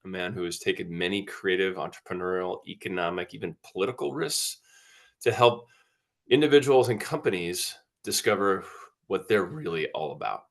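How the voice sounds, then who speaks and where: A man's voice: deep voice, normal speed, vocal fry. A man hosting an interview, introducing his guest earnestly and asking open questions. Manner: affirming, earnest, frequent mm-hmms. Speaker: interview host. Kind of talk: casual interview chat.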